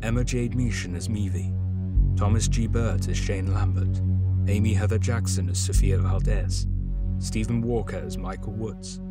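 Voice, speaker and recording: A man's voice: low voice, steady tone, normal speed. A man reading out scripted cast credits as an announcer. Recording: loud music under voice.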